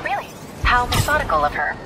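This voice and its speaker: A woman's voice: fairly high pitch, big pitch swings, fast, over loud music. An American woman voicing a dry, practical young confidante of a superhero in a video game, wry and matter-of-fact. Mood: businesslike.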